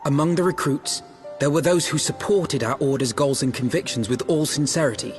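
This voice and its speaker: Guy as earnest fantasy order leader, formal n measured, lightish voice, big echoey hall. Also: on the high side, natural voice. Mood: solemn, earnest. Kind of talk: fantasy video game acting, archaic speech. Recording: long hall reverb, faint music behind.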